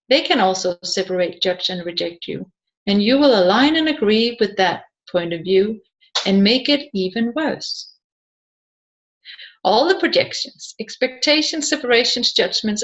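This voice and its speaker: A woman with a somewhat high voice narrates a nonfiction audiobook. She reads scripted questions and answers aloud. Her delivery is measured. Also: fairly bright tone, muffled recording. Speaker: audiobook reader.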